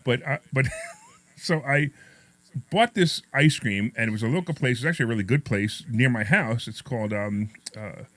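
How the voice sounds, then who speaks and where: A man's voice: mid-range voice, moderate pace, fairly bright tone, no echo. Middle-aged male radio host, New York accent, slight lisp; casual, self-mocking, rambling delivery, close dry studio sound. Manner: rambling, repetitive, self-deprecating joking. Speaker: radio talk show host. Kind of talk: casual, jokey talk radio chat and anecdotes.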